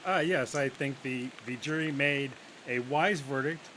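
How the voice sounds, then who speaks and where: A man giving a casual mock interview as a defense attorney guest, halting and wry. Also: mid-range voice, a bit bright. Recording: clear hissy background noise.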